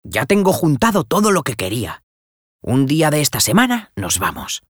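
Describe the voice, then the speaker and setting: A man's voice: on the high side, wildly swooping pitch, normal speed, dry recording, clean studio sound, pitched above the performer's own voice. A man voicing a restless young village boy who talks in an eager, boyish way.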